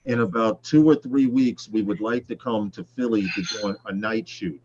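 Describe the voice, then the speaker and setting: A man co-hosting a casual live show, rambling loosely and often clearing his throat. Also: lowish voice, normal speed, a little mellow, lo-fi sound, slight background noise. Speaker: livestream co-host.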